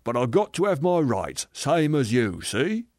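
A male narrator plays a self-righteous working-class ghost in an audiobook. He blusters and sulks, leaning hard and slow on his grievances. His pitch moves about a great deal, and the sound is very dry. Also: sing-song, a little mellow, no room sound. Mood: indignant, self-justifying. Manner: blustering, sulky, argumentative, drawn-out emphasis. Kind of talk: dramatised audiobook reading.

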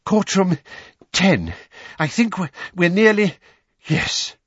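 A man voicing an anxious, hurried wizard father, agitated and breathless, in a storybook reading. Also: lowish voice, huge pitch swings, fairly bright tone, slightly rough, dry recording, muffled recording, heavily acted. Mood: urgent, anxious.

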